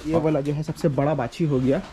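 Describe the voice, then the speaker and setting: Young male vlogger, lightly high mellow voice, guiding viewers on a casual tour over ambient noise. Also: fairly even intonation, fairly quick. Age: young adult. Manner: repetitive, instructive, addressing viewers. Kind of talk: casual walking vlog, giving directions and farm tour. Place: village lanes outdoors and cattle shed. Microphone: handheld camera at arm's length. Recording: noisy handheld outdoor recording, ambient sound.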